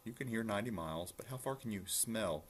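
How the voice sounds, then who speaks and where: Man as a gruff, growling big bear. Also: fairly low pitch, lively intonation, fast, slight background noise, voice pushed up, close to an everyday voice. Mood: competitive.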